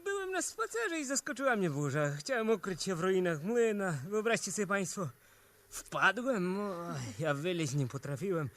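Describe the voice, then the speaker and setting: An actor in a 1970s Polish radio play, playing a fussy, suspicious middle-aged auditor with an indignant, theatrical manner. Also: male voice, high voice, very animated, normal speed, a bit bright. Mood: flustered, complaining.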